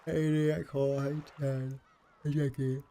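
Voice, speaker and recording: A man's voice: medium pitch, little pitch movement, slow pace, gravelly, dark tone, a little hiss or hum. A man in his late twenties chatting casually on a livestream, rambling and self-deprecating with plenty of swearing. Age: late 20s. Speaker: game livestreamer.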